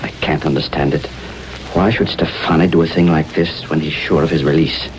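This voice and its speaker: An actor playing a dignified, stern judge who speaks formally and indignantly in a 1930s radio drama. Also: male voice, rumbling low, fairly even intonation, fairly quick, background noise. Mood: baffled, indignant. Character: stern, dignified male judge under pressure.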